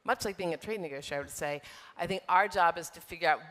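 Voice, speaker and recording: A middle-aged woman answering interview questions on a conference stage, measured, with many fillers and restarts. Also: lowish voice, fairly bright tone. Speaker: software trade group executive, guest. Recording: long reverb of a large hall.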